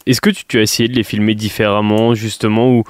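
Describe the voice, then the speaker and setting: A man interviewing a guest in a relaxed way, asking leading questions and often agreeing briefly. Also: lowish voice, fast talker, no room sound, clean studio sound. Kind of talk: casual interview.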